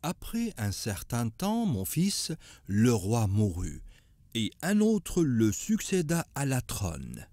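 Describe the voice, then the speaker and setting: Kindly old storyteller, mellow, animated intonation, patient, solemn cartoon grandfather. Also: medium pitch, slow pace, no room sound, full character voice. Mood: solemn, reverent. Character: kindly old grandfather sage telling prophetic stories.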